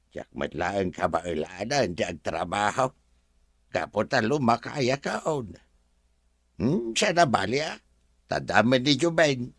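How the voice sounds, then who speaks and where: Man acting humble old servant, creaky Ilocano voice. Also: medium pitch, sharp tone, dry recording, clean studio sound, heavily acted. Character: humble, apologetic elderly male house servant. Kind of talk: Filipino TV-style drama dialogue.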